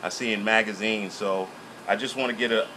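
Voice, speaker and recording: A man hosting an informal local interview, speaking haltingly and often repeating his words. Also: fairly low pitch, steady tone, pushed and bright, slight background noise, rough recording. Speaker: interviewer and host of a local business show.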